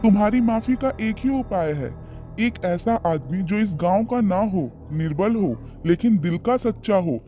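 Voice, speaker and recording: A man's voice: sits high, expressive, a little slow, warm tone. A man voicing a stern, fatherly holy sage in a children's cartoon story, solemn and admonishing. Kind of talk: animated children's moral story dialogue. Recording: music behind the voice.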